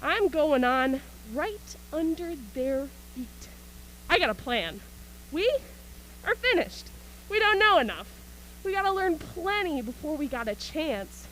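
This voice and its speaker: A woman's voice: high voice, big pitch swings, measured pace, a bit bright, a little hiss or hum, full character voice, rough recording. A woman acting an embittered, manic militia soldier in a stage radio play, ranting in colloquial speech about surviving an invasion. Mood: defiant, manic. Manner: colloquial, ranting, punctuated by scornful laughs. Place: school auxiliary gym.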